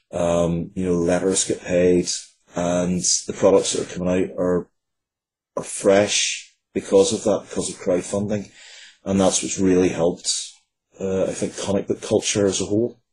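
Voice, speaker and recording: Low-pitched man in casual interview. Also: darkish tone, slight background noise, low-quality recording. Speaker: guest, writer of fiction, comics and games.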